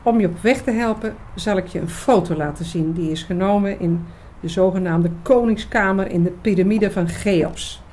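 A woman's voice: mid-range voice, moderate pace, warm tone, a little hiss or hum, close to an everyday voice. A woman playing an eager, knowing researcher in a scripted radio drama, explaining mysteries with emphasis. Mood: eager, coaxing. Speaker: actress in an audio drama.

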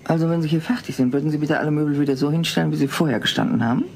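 An actress playing a brisk, suspicious female neighbour in a comedy audio drama with faint noise. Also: sits low, steady tone, a little mellow. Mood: suspicious, curt.

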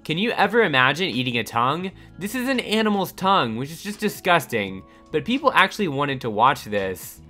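Lively man narrating a countdown. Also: fairly high pitch, fairly quick, few pauses. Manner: upbeat, lively countdown delivery. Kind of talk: scripted voice-over narration. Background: soft background music.

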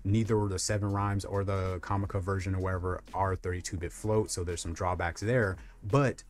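A young man with a deep voice giving a casual, rambling tech review on a lapel mic in a small room, with lots of fillers. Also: steady tone, normal speed. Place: small room lit with colored lights.

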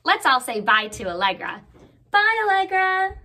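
A woman in her thirties reading a picture book aloud for children, expressively drawing out words. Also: extremely high pitch. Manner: expressive, deliberate, drawn-out words. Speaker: children's story time host.

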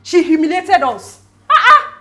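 Bright, outraged Nigerian sister. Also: female voice, extremely high pitch, very animated, a little slow, exaggerated voice. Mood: outraged, insistent. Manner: insistent, repetitive. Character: outraged, bossy, protective sister of a grown man. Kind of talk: screen drama acting. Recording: echoey room, faint music behind.

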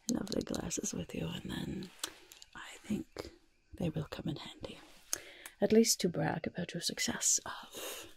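A woman plays a kindly, shifty goblin disguise master in a soft ASMR roleplay. The voice is lowish and often whispered, with heavy creak. It coaxes gently, repeating words and adding little hesitant asides up close to the mic. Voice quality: whispery, breathy, husky. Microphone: close-up microphone.

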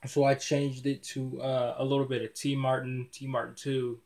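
A man's voice: mid-range voice, flat delivery, normal speed, a little mellow. Laid-back young man rambling to a webcam. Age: 20s. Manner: rambling, repeats words often, laid-back slang. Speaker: YouTuber. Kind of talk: casual vlog talk to camera. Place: small dim indoor room.

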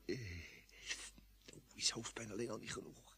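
An actor playing Jimmy, an excitable, easily panicked space crewman who stammers and repeats words in a Dutch radio drama. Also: mid-range voice, normal speed, breathy edge, exaggerated voice. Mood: dazed, confused.

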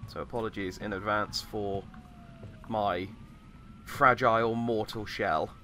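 A man with a slightly croaky voice giving casual, rambling commentary as he plays a game. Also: lowish voice, fairly even intonation, slow pace, bright, forceful tone, a little hiss or hum. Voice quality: slightly croaky, recovering from voice loss. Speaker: gamer, host of a let's play.

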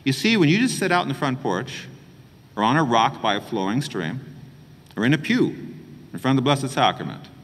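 Middle-aged male priest, gentle expressive homilist, very animated pitch, recorded with long church reverb. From New Mexico, USA. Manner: gentle, expressive preaching with pauses and hand gestures. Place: small chapel.

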